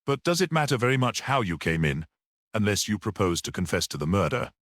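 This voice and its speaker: A man voicing a brisk, sceptical police detective in a mystery audiobook, businesslike and questioning. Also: lowish voice, big pitch swings, a bit bright, dry recording, clean studio sound.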